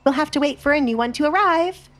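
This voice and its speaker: A woman's voice: sits high, fast, voice pushed up, natural voice. A woman playing a spoiled young noblewoman with a haughty, affected manner in an audio drama. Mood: feigned innocence. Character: spoiled young noblewoman, sulky and falsely sweet.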